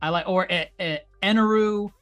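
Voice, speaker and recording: A talkative podcast co-host chats casually over faint background music, laughing often and restarting sentences on the fly. The pitch sits in an ordinary middle range. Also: moderate pace, a bit bright.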